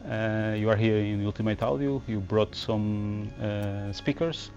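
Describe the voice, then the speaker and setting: A man's voice: fairly low pitch, measured pace, a little mellow, muffled recording, soft background music. A man interviewing exhibitors for a podcast at a trade show, asking his questions hesitantly with fillers.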